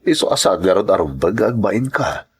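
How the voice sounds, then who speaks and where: A man with an Ilocano accent acting a kindly, solemn priest in a radio drama, speaking gently and earnestly. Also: on the low side, animated, brisk, husky. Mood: gentle, solemn. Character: kindly, solemn male priest reassuring a woman.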